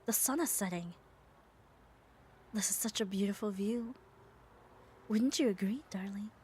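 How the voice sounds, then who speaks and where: A woman playing a muscular, devoted, gently dominant yandere girlfriend in an ASMR roleplay, speaking tenderly and soothingly and often dropping to a whisper. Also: medium pitch, a little slow, slight background noise, lightly acted. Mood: tender, reassuring. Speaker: ASMR voice performer. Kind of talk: ASMR roleplay, soft intimate acting.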